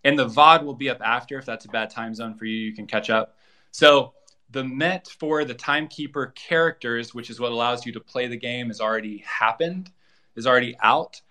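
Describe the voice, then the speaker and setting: A man with a bright, forward voice and a middle-range pitch. He speaks as a guest promoting a project, in an informal, explanatory way, laying out details one after another. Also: moderate pace. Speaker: guest, co-creator of an audio drama. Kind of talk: casual promotional interview talk.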